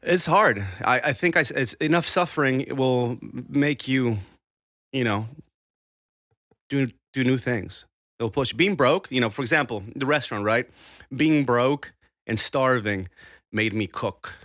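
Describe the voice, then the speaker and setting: A man's voice: mid-range voice, a little slow, fairly bright tone, no room sound, clean studio sound. A man in his thirties from Argentina, a podcast guest talking casually in a rambling, self-interrupting way. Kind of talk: casual conversation.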